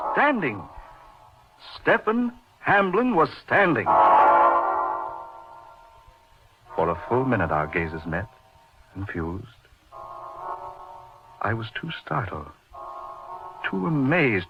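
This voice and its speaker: An actor playing an intense middle-aged surgeon in an old-time radio horror drama, recounting his uncanny friend with deliberate, emphatic repetition. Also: medium pitch. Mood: suspenseful awe. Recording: dull lo-fi radio recording, music behind.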